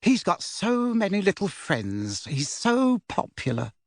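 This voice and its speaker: A male narrator acts out a prim, doting middle-aged aunt in an audiobook story. She drawls very slowly and unconcernedly, her pitch rising and falling a lot. The recording is dry and close. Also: wildly swooping pitch, no echo, full character voice. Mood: fond. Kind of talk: audiobook character voice.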